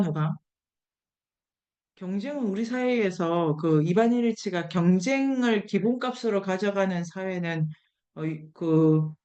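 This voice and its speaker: A woman's voice: mid-range voice, darkish tone, echoey room. Woman leading an informal seminar, questioning style. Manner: conversational, questioning, repeats key words for emphasis. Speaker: lecturer leading an online book seminar. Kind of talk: informal seminar lecture, thinking aloud with questions to participants.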